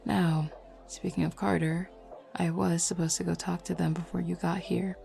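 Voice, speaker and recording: Woman acting as flirty werewolf packmate, mellow dark tone, heavy vocal fry, casual, self-assured, roleplay audio drama. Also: on the low side, flat delivery, moderate pace. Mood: calm, explaining. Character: flirty, teasing, self-assured female werewolf pack beta. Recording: lo-fi music bed behind voice.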